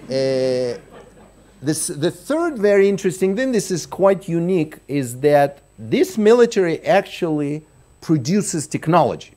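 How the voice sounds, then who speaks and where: A man's voice: fairly high pitch, big pitch swings, a little slow, dark tone. A middle-aged man from Russia giving a conference keynote at a lectern in a large hall, explaining step by step and often restarting and repeating words. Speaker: keynote speaker. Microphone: lectern microphone.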